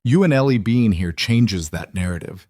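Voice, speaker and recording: A man narrating plays a brooding, controlled mafia boss in a romance audiobook. He speaks low, in grave, measured declarations, on a dry recording. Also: animated, darkish tone, no room sound. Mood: intense, possessive. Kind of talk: dramatised audiobook dialogue.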